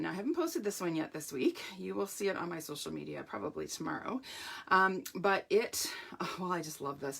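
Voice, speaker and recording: Middle-aged woman, lowish voice gone hoarse and croaky, upbeat live craft-demo host. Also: fairly bright tone. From Canada. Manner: chatty and upbeat, greeting viewers by name. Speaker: craft demonstrator.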